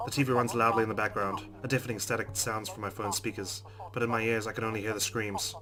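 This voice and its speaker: A man's voice: lowish voice, flat delivery, quick pace. A man hosting a let's play, reading visual novel narration aloud in a dramatic way. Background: game music.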